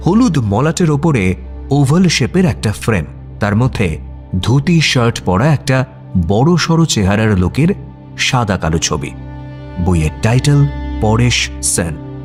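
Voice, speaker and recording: Animated male storyteller over faint music. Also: on the low side. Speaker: story narrator. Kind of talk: expressive scripted audio story narration.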